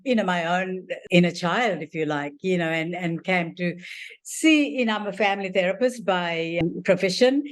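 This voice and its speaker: An older woman from Sri Lanka, an author and family therapist, answers interview questions. She talks at length in a rambling, warm way, full of "you know" fillers and restarts. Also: on the low side, normal speed.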